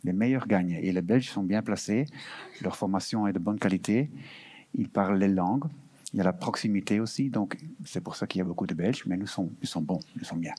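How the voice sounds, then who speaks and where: A man with a fairly low voice fields audience questions at an information session. He talks in a halting way, full of fillers and restarts, and drops into English words now and then. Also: warm tone, breathy edge. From Belgium. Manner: hesitant, many fillers and restarts, slips into English terms. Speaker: EU recruitment office representative. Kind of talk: answering questions at an info session.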